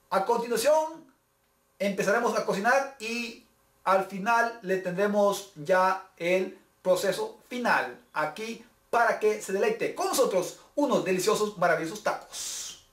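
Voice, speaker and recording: Upbeat man hosting a kitchen show. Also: sits high. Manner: showman host patter, switching between two languages. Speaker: cooking show host. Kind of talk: bilingual cooking vlog. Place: small home kitchen, indoors. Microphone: camera mic, several feet away. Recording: echoey kitchen with faint music.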